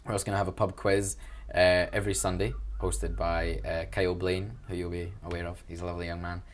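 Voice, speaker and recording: A man's voice: low voice, little pitch movement, fast talker, a little hiss or hum. A young man with a Glaswegian accent, chatting enthusiastically about student union events in an interview, with a self-deprecating humor. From Glasgow, Scotland. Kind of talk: casual interview answers.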